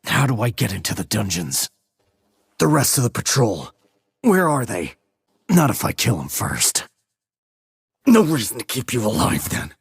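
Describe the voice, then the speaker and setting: An actor playing a terse, cool-headed sniper operative in a video game, with clipped, controlled military delivery and a low husky voice. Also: male voice, very animated, measured pace, long pauses, no echo, full character voice. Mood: menacing, interrogating. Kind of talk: military radio chatter, game dialogue.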